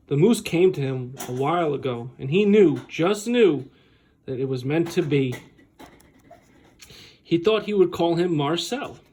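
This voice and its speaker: A man reading a picture book aloud expressively, with animated, deliberate phrasing and dramatic pauses, like a school staff member at storytime. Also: fairly high pitch, slight background noise.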